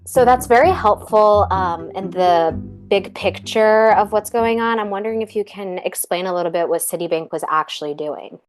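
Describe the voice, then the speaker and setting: A woman's voice: medium pitch, normal speed, hardly any pauses, faint music behind. A woman co-hosting a podcast, prompting her guest politely and encouragingly. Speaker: podcast co-host. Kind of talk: interview questions.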